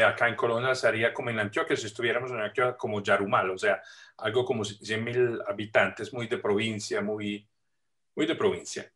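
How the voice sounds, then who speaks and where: Italian man, reflective webinar guest. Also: medium pitch. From Italy. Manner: warm, reflective, with frequent eh fillers. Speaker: guest speaker. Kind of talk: casual video-call interview. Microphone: video call.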